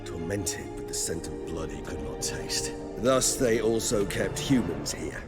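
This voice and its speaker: Low-voiced, refined ancient vampire. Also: male voice, expressive. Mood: regretful. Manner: erudite, measured, formal, slightly theatrical. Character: refined, melancholy male higher vampire, ancient and courteous. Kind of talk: video game character acting. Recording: loud game music behind the voice. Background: game music.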